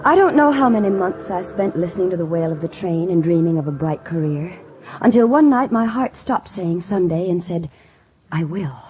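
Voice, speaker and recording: A woman's voice: fairly low pitch, lively intonation, moderate pace, background noise, natural voice. An actress playing an earnest, ambitious young country woman in a 1940s radio drama, speaking in a dramatic, wistful and emphatic way. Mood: wistful, dreamy. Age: young adult. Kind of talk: 1940s radio drama acting and first-person narration. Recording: muffled old 1940s radio recording.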